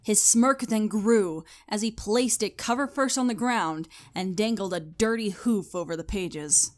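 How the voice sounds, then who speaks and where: A woman's voice: medium pitch, measured pace. A woman reading scripted story narration as the narrator. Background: music.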